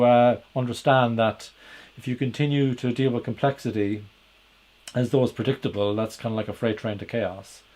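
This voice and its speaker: A man's voice: mid-range voice, a little mellow. A man with an Irish accent giving a solo explanatory talk, conversational and hesitant, full of "uh" fillers and restarts. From Ireland. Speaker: podcast host and agile coach.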